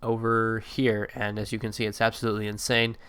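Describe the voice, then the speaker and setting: A man's voice: lowish voice, monotone, a little fast, fairly bright tone, clean tone. A man with a slightly congested, allergy-stuffed voice, giving casual, rambling commentary over a city-building game with many fillers and self-corrections. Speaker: gameplay video creator.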